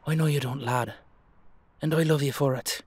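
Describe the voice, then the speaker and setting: A man's voice: medium pitch, steady tone, moderate pace, breathy edge, no room sound, close to an everyday voice. A man playing a protective, brotherly dullahan with an Irish accent, reassuring and colloquial in an audio drama.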